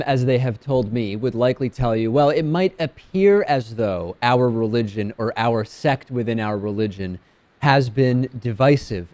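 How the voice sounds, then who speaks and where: A man's voice: medium pitch, a little mellow, dry recording. A man born in Argentina whose first language is Spanish, hosting a talk show and asking direct, conversational questions. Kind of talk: interviewing and reading listener questions.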